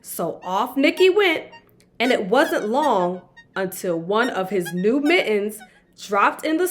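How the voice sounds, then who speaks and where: Woman, children's story narrator, slow pace, expressive swooping intonation, read-aloud delivery, background music. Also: medium pitch. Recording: music behind the voice.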